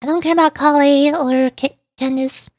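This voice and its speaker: A woman streamer chatting casually about a video game in a rambling, playfully exasperated way, often halting and repeating words. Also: high voice, steady tone, darkish tone, no echo. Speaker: streamer, VTuber. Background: background music.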